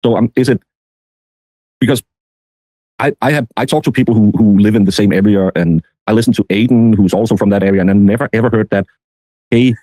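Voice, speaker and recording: A man giving casual, rambling commentary in a halting, meandering way. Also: fairly low pitch, warm tone, dry recording, low-quality recording. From Denmark. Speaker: streamer.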